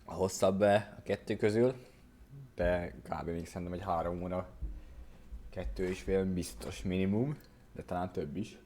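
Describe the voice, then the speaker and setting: A man casually chatting as a game streamer, a native Hungarian speaker thinking aloud with hesitant pauses. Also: low-pitched, fairly even intonation, warm tone, slight background noise. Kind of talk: casual livestream chat.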